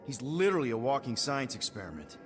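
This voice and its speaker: A man's voice: mid-range voice, sing-song, fast, close to an everyday voice. Guy acting flustered young space captain in a game, voice jumps all over, casual and stammery, music behind, echoey. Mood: nervous pleading. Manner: stammering, informal, pleading. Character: earnest, flustered young male spacefaring team leader. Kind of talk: video game cutscene acting.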